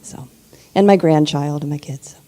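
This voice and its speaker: A woman's voice: low-pitched, normal speed, mellow. A middle-aged woman speaking on a conference panel, earnest, with many ums. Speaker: panelist author. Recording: echoey hall with long reverb.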